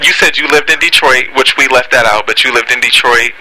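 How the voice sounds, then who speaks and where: Informal coaxing interview host. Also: male voice, mid-range voice, fairly quick, background noise. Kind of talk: casual interview.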